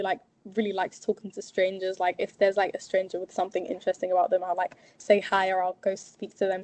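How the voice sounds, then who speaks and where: A woman's voice: medium pitch, darkish tone, no echo, rough recording. A young woman, a student abroad, talking casually with many fillers in a dry, close recording. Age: young adult. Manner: talkative, filler-heavy. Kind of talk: casual conversation.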